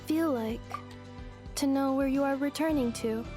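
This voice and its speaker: A woman's voice: sits high, measured pace, music in the background. A woman voicing an earnest, curious robot girl with memory loss, speaking in stilted, literal phrases for a video game. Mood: earnest, matter-of-fact.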